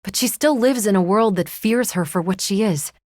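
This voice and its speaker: A woman's voice: medium pitch, normal speed, a little mellow, no room sound, studio quality, lightly acted. A woman playing a confident, wry, competitive superhero soldier in a video game, talking in casual, self-assured banter. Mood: reflective, frank. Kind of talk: video game voice acting, conversational dialogue.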